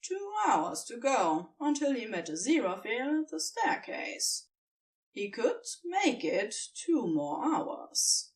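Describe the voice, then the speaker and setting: Slow, higher-pitched woman narrating expressively. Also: sing-song, recorded from a distance, a little hiss or hum, low-quality recording. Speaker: audiobook narrator. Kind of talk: expressive fan fiction narration.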